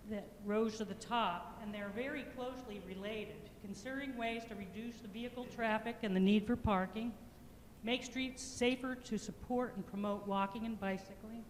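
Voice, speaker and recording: Woman planning consultant, loud and halting with fillers, in an echoey public meeting room. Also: medium pitch. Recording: echoey meeting hall with faint noise.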